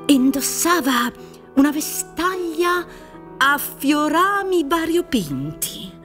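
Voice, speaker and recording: An actress playing a relentless, insinuating public prosecutor in a radio drama, speaking with deliberate emphasis. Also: female voice, sits high, big pitch swings, a bit bright, soft background music, heavily acted. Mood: insinuating narration. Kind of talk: theatrical radio drama acting. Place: dark theatre stage set for radio recording. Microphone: boom-mounted studio microphone, headphones.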